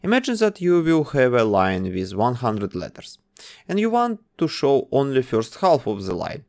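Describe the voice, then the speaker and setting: A man narrating a coding tutorial, calmly giving instructions one step at a time. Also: medium pitch, normal speed, gravelly. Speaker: game development tutor.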